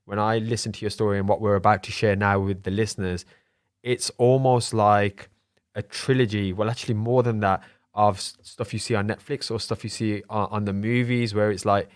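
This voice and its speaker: A male podcast host with a lowish voice, recorded dry and close. He speaks in an earnest, emphatic way, encouraging his guest warmly as he sets up her story. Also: level tone, moderate pace, smooth, no echo. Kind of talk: interview host.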